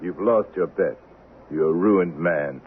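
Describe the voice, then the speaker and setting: Deep, taunting Western avenger. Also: male voice. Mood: taunting, eerie. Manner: measured, taunting, sardonic. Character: cool, vengeful Old West man, coldly triumphant. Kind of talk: 1970s radio drama acting. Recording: tinny, noisy vintage radio broadcast.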